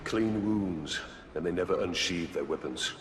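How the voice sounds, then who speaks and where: Solemn deep fantasy warrior, roomy echo on the voice, music behind, game cutscene. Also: male voice, unhurried. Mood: grave. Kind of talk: video game cutscene acting.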